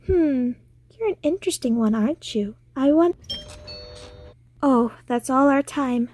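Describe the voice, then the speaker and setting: A woman's voice: sits high, expressive, unhurried, a little mellow, a little hiss or hum. A woman voicing a wealthy, long-lived alien widow, confident, poised and flirtatious, in an audio roleplay. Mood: confident, inviting. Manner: poised, self-assured, flirtatious.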